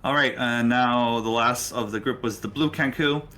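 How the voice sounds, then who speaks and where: A man's voice: medium pitch, moderate pace, sharp tone, a little hiss or hum. A man narrating a tabletop roleplaying game as the game master.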